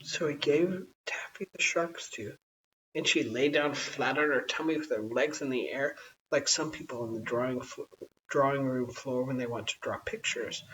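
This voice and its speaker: A storyteller reading a children's bedtime story aloud in an expressive style. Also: medium pitch, moderate pace. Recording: dull, hissy, distant home recording.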